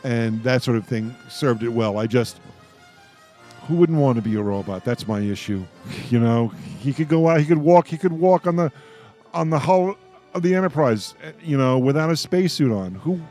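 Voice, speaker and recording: A man's voice: mid-range voice, lively intonation, a little mellow. A man with a mid-range voice chats in a casual group discussion. He speaks informally, circling back and restarting as he goes. Faint music sits behind the talk. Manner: halting, repeats and restarts mid-thought. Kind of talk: casual roundtable fan discussion.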